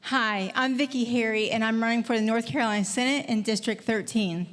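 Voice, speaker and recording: An older woman introduces herself as a political candidate in a campaign speech. Her voice sits a little high, and she talks in a plain, earnest way. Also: steady tone, fairly quick, a bit bright.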